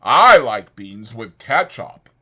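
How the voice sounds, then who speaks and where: A man voicing a big, simple-minded, meek farmhand with a slow, childish, pleading rural way of talking in an audiobook. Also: medium pitch, sing-song, sharp tone, slightly rough, heavily acted. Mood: eager, questioning.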